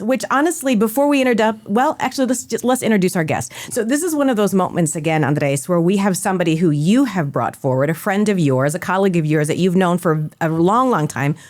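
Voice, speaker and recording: A woman's voice: medium pitch, darkish tone. A woman co-hosting a podcast, speaking with enthusiasm and frequent affirming interjections. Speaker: podcast co-host. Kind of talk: interview conversation.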